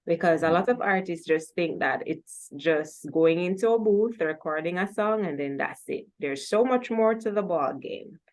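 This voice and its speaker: Lady hosting a music chat show, voice on the lower side, casual and encouraging. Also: lively intonation, moderate pace, hardly any pauses, a little mellow.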